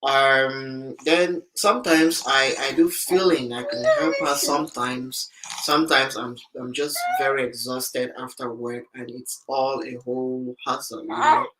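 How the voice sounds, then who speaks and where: A man chatting casually about being a new father, hesitant and halting, often repeating words. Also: medium pitch, bright, forceful tone, slight background noise, poor audio. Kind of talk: casual chat. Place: home in Korea.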